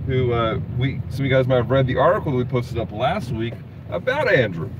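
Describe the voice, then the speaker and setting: A man's voice: mid-range voice, big pitch swings, fairly quick. A man in his thirties telling stories in a casual, chatty way as co-host of a geek culture show. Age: 30s. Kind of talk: casual chat and storytelling. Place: inside a car while driving. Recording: muffled, distant, loud car noise. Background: road and traffic noise.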